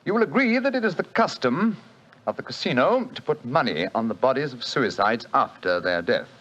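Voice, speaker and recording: A shrewd, assured detective. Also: male voice, mid-range voice, sing-song, fairly quick, a bit bright. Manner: crisp, assured, lightly ironic. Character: brisk, shrewd British detective, sharp and assured. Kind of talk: 1940s radio acting. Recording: dull old radio broadcast.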